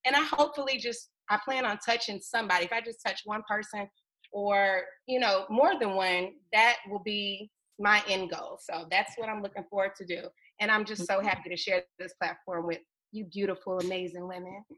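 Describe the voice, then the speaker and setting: A woman in her thirties introducing herself as a podcast co-host, lively and playful, laughing often. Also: medium pitch, normal speed, rough recording. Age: 30s. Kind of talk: casual self-introduction.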